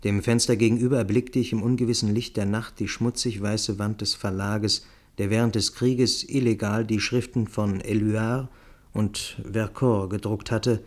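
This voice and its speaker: A man reading scripted literary narration for a radio play in a measured, precise way. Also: on the low side, darkish tone.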